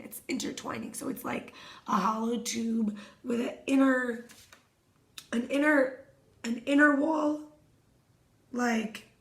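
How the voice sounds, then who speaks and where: A hoarse woman giving a reflective self-help talk as a wellness coach, speaking softly and meditatively and often dropping to a whisper. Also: fairly high pitch. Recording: echoey room.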